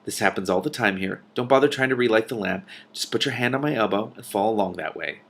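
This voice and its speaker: A man voicing a calm, matter-of-fact female dragon in a storybook, composed and explanatory. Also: fairly low pitch, fast, breathy edge. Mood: calm, reassuring.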